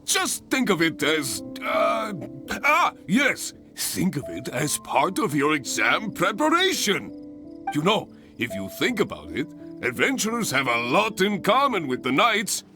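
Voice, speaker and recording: High, swooping, theatrical guild master. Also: male voice, wildly swooping pitch, a little slow, over music. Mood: dismayed, coaxing. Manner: grandiose, effusive, pleading persuasively. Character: theatrical, enthusiastic male adventurers guild branch master. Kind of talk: video game character acting.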